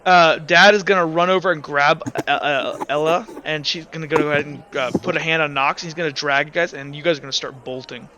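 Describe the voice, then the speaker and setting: A man narrating a tabletop game as game master, halting often with fillers while he describes the scene. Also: on the high side, steady tone, noisy background. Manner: rambling, halting with many fillers. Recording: dull lo-fi mic.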